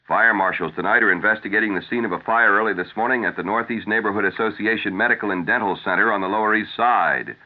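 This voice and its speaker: Low-voiced male newscaster on tinny old radio. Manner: measured, formal announcer delivery. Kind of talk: scripted news and ad reading. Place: radio studio. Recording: tinny, narrow-band 1970s radio broadcast.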